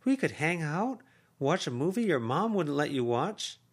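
A man's voice: a little high, sing-song, normal speed, husky, pitched above the performer's own voice. A man voicing a cheerful, effusive aunt who talks in an emphatic way. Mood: playful. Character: cheerful gushing adult aunt, woman.

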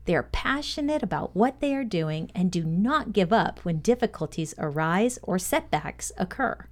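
A woman presenting a scripted motivational podcast lesson, reading aloud in a measured, deliberate, instructional way. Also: on the low side, lively intonation.

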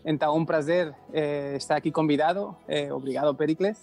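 A man in his thirties from Spain, a native Spanish speaker with a Spanish accent, haltingly searching for words as a podcast guest. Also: on the high side, steady tone, creaky, darkish tone, faint music behind. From Barcelona, Spain. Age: 30s. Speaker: podcast guest, company founder. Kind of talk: casual interview answers.

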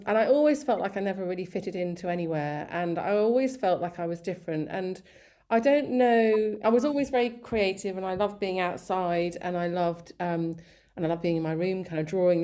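A woman's voice: lowish voice, fairly quick, warm tone. A woman in her fifties, a guest in an interview, telling her life story in a rambling, chatty way with many fillers and restarts. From Sri Lanka, raised in England. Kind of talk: casual conversational interview.

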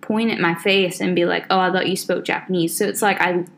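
A woman's voice: lowish voice, fairly even intonation, a little fast, creaky, fairly bright tone, a little hiss or hum. Chatty woman, lots of "like". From Southern United States. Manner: rambling, full of "like" fillers. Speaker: podcast co-host. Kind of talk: casual chat.

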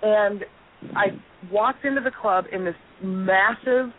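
A hoarse middle-aged woman, a comedian and guest, telling a self-deprecating story in an animated way on a radio interview. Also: medium pitch, slow pace, slight background noise. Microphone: telephone. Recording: tinny phone line.

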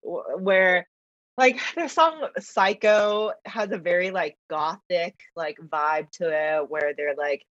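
A woman whose first language is Mandarin, chatting as a podcast guest, talkative and quick to laugh, with lots of fillers. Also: lowish voice, a bit bright, no echo. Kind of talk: casual interview chat. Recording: dull lo-fi dry recording.

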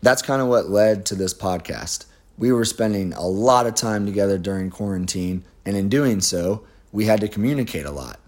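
A man co-hosting a casual podcast, his voice raspy and hoarse, speaking in an earnest, measured way. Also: on the low side, expressive. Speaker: podcast co-host. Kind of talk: casual chat.

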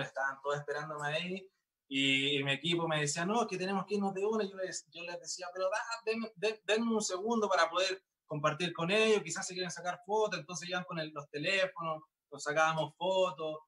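A man's voice: on the high side, bright, forceful tone. A young man, a singer chatting in an interview, upbeat and full of fillers and restarts. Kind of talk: casual interview chat.

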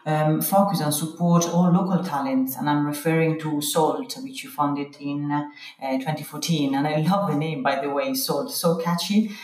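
Low-voiced woman interviewer in a roomy space. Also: normal speed, darkish tone. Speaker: interview host. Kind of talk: scripted interview questions. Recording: roomy echo.

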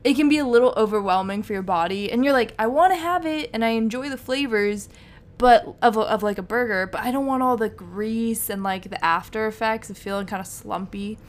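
Soft-spoken woman co-hosting a podcast. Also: on the high side, a little fast. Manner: soft-spoken, laughs often. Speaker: podcast co-host. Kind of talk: casual chat.

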